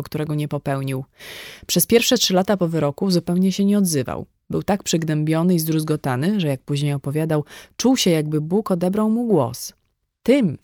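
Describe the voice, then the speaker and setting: A woman's voice: low voice, a little mellow, no echo. Female audiobook reader, dry studio sound. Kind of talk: scripted narration, audiobook reading of a dialogue.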